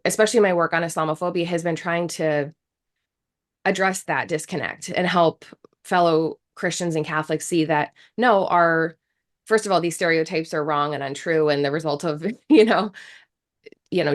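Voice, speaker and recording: A woman's voice: sits low, steady tone, normal speed. A woman in her thirties from the United States, a guest speaking earnestly and reflectively in an interview, with frequent restarts. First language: English. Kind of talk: casual interview talk.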